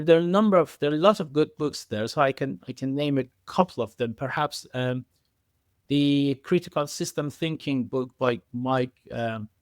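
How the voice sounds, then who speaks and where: A man speaking as an expert podcast guest in a conversational way, haltingly, often repeating words and circling through filler phrases. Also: medium pitch, darkish tone, no room sound, clean studio sound. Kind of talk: conversational expert interview answers.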